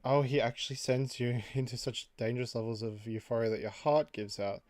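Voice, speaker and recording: A man casually chatting on a livestream while he draws, reading out chat messages, laughing often and jumping up in pitch for jokes. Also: fairly even intonation, fairly quick, darkish tone, no room sound.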